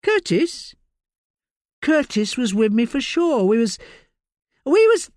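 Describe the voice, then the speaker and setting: Give me a shrill, agitated young woman suspect, put on by a male narrator, dry close sound. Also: sing-song, slow pace, a little mellow, no echo, heavily acted.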